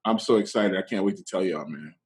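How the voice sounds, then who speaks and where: A man co-hosting a podcast, giving relaxed shout-outs and announcements in casual chat. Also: sits low, brisk. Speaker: podcast co-host.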